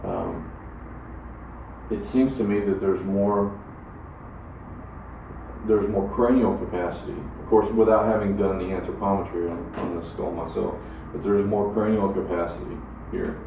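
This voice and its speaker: Male academic, deep, measured and halting, distant mic, heavy room echo. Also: expressive, mellow. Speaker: academic expert giving an opinion. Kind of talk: unscripted expert explanation. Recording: echoey room, distant mic, clear noise.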